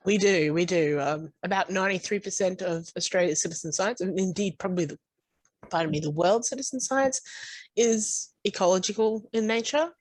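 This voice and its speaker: A woman co-presenting over a video call, brisk and matter-of-fact. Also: medium pitch, no room sound. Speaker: chemist and co-presenter. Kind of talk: online presentation.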